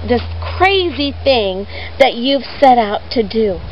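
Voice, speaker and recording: A woman's voice: on the high side, big pitch swings, takes it slowly. Woman acting out a taunting, scornful storybook bully. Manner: taunting, belittling. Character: proud, scornful male tempter bullying a girl. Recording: dull lo-fi recording with background noise.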